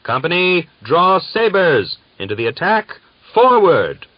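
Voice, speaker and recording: A man voicing a commanding Cossack company commander in an audiobook, shouting military orders in battle. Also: high voice, very animated, measured pace, no room sound, voice pushed up, heavily acted. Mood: urgent, commanding. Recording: dull, lo-fi audiobook recording.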